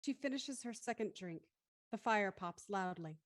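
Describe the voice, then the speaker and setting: A woman's voice: medium pitch, animated, moderate pace, dry recording, clean studio sound, natural voice. A woman reading scripted stage directions as narrator of an audio drama.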